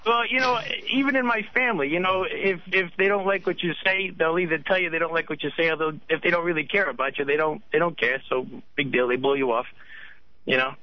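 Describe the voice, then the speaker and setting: A man's voice: fairly high pitch, fairly even intonation, fast. A man from Rhode Island calling into a radio show, rambling with many restarts. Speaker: caller. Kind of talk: phone-in chat. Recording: slightly dull radio audio.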